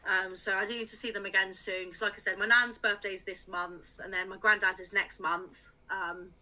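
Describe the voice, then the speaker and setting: A woman's voice: mid-range voice, flat delivery, normal speed, pushed and bright, low-quality recording. A woman in her late twenties from England, a music writer chatting openly as a podcast guest, with many little laughs and fillers. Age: late 20s. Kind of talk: casual interview chat.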